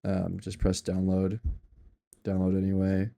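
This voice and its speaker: A low, gravelly man talks through a software setup in a loose, rambling way. His voice is mellow and fairly flat, and he keeps stopping to correct himself. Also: unhurried. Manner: rambling, offhand, with many ums and self-corrections. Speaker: tutorial maker. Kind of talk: casual screen-recorded software tutorial.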